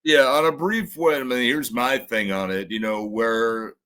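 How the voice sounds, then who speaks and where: A man with a bassy voice, a podcast guest in casual conversation, halting with many fillers and restarts. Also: fairly even intonation, a bit bright.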